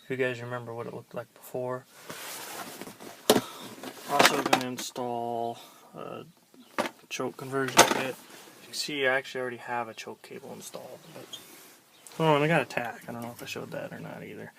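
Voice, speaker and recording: A man with a gravelly, creaky voice at an average pitch chats through a casual walkaround. His delivery is low-key and colloquial. He is recorded outdoors, with clear noise around his voice. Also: steady tone, moderate pace, long pauses. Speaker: vlogger showing his pickup trucks. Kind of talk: casual chat, walkaround show-and-tell. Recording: noisy outdoor handheld camera recording.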